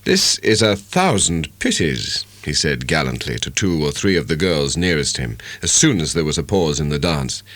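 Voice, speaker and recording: A man giving a measured, expressive dramatic narration of a literary audiobook. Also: rumbling low, no room sound.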